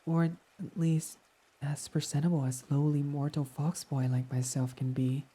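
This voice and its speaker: A man voicing a devoted, submissive fox boy servant in an intimate ASMR roleplay, reverent, hesitant and breathy. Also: fairly high pitch, flat delivery, moderate pace. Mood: meek, reverent. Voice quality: soft, breathy. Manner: reverent, self-deprecating, hesitant, breathy half-whispers.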